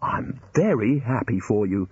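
A man's voice: fairly low pitch, animated, measured pace, slightly rough, no room sound, highs cut off, exaggerated voice. A man playing a weary, mild-mannered reluctant adventurer in an audiobook, speaking politely with wry resignation.

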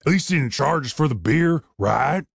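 Man doing a gravelly, dim-witted half-orc adventurer. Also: a little high, animated, no echo, studio quality, exaggerated voice. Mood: grumbling. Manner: blunt, crude, slow-witted.

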